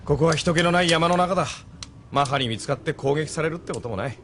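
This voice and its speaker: An actor playing a cocky, hot-tempered young pilot thug with coarse, slangy speech in an audio drama. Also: male voice, on the high side, fast, background noise, close to an everyday voice. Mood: offhand, cocky. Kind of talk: Japanese audio drama acting. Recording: music behind the voice.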